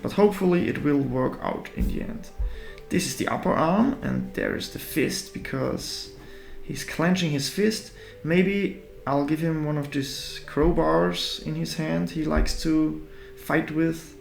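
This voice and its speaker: Gravelly man, slow drawing chat. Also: fairly high pitch, echoey room, faint music behind. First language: German. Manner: halting, self-deprecating, thinking aloud while drawing. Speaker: artist. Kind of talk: live drawing commentary.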